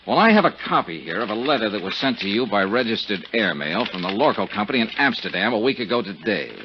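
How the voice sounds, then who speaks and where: An actor playing a tough, wisecracking investigator in 1950s radio detective drama, blunt and sardonic, with a husky voice. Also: male voice, lowish voice, sing-song, fast, noisy background. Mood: pressing, sardonic. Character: tough, brash, wisecracking American male investigator. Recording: dull 1950s radio broadcast recording.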